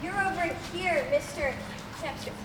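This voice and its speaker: A woman's voice: very high voice, normal speed, over music. A young woman playing a blunt, sardonic radio studio crew member in a matter-of-fact way. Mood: dry, sardonic. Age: teenager. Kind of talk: amateur stage comedy acting. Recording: distant echoey hall with noise.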